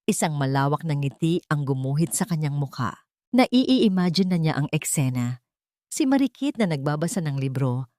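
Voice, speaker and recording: Casting: female Filipino storyteller, lowish register, scripted dramatic delivery, very dry recording. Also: darkish tone, no echo. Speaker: story narrator.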